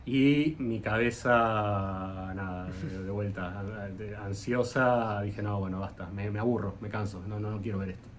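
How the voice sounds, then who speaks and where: A man's voice: lowish voice, steady tone, a little slow, few pauses, a little hiss or hum. A man with an Argentine accent, a luthier guest on a video call, telling long stories with lots of hesitant "eh" fillers. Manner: hesitant, frequent "eh" fillers, rambling anecdotes. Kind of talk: casual interview storytelling.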